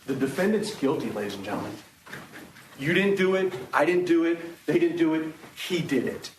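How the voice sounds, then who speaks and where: A man speaking as a prosecutor to a jury, making an emphatic, deliberate closing argument with repeated phrases, recorded in a courtroom. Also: on the high side, lively intonation, roomy sound, slight background noise, poor audio. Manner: emphatic, deliberate, repetitive rhetoric. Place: indoor courtroom.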